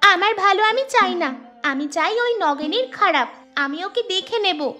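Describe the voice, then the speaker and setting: A defiant little village girl for a cartoon. The voice is extremely high, indignant and headstrong, with faint music behind it. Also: animated, unhurried. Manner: defiant, complaining. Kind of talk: Bengali cartoon story dialogue.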